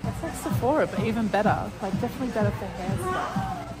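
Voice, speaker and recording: A woman vlogs excitedly while browsing a busy shop, her slightly high voice leaping up and down as she points things out. Loud crowd noise and music fill the echoey space around her. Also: fast. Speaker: vlogger. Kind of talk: casual vlog while shopping.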